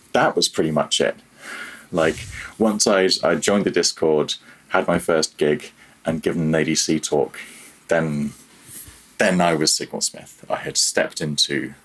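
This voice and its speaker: Very low, husky man, reflective guest. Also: poor audio. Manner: halting, self-deprecating. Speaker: podcast guest, freelance audio programmer. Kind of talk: reflective casual interview talk.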